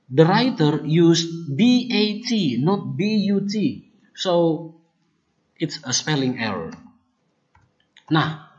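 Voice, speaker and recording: A speaker with an Indonesian accent whose first language is Kupang Malay, presenting research findings in a deliberate, explanatory way. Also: mid-range voice, lo-fi sound. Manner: deliberate and explanatory, often starts points with "look" or "now". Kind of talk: academic presentation on language errors.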